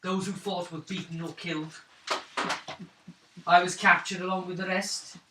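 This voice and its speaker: A man voicing a shaken, wounded young woman of a wandering clan in a tabletop roleplaying game, speaking haltingly and wearily. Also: sits high, little pitch movement, natural voice. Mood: grave, shaken. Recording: roomy, hissy tabletop recording, distant mic.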